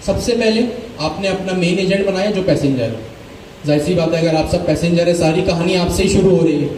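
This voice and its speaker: A man's voice: sits high, brisk, darkish tone, slight background noise. A man teaching a programming class, asking questions and answering them himself. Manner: asks questions and answers them himself, mixing English code terms. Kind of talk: live coding class lecture. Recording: echoey room, mic far off.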